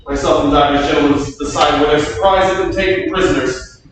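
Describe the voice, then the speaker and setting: Proud, loyal medieval lord and soldier read by a male actor, declamatory stage verse, fairly high male voice, distant and very echoey. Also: steady tone, natural voice. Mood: indignant. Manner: declamatory, formal and measured. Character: proud, loyal English war captain, a lord. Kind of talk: staged reading of Shakespearean verse. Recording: echoey hall from far off, faint noise.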